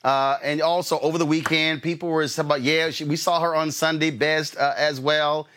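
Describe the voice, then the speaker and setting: A man hosting an interview, talking in a halting way with many fillers and repeated words. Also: a little high, flat delivery, fairly bright tone. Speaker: interview host. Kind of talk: interview, casual chat.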